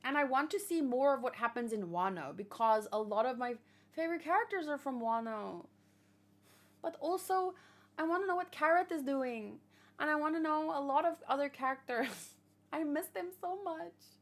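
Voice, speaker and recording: A woman's voice: sits high, lively intonation, normal speed. A woman hosting a casual manga read-along, chatting in a rambling way with lots of fillers and restarts. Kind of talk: casual chat and reading aloud.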